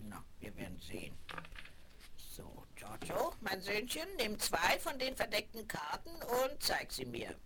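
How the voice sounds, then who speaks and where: A woman's voice: medium pitch, huge pitch swings, a little slow, crowd noise. An actress playing an old, motherly yet mysterious circus fortune-teller in a radio play, speaking in dramatic pronouncements full of endearments. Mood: mysterious, slow. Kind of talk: German radio play for young listeners. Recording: noisy 1970s vinyl radio play.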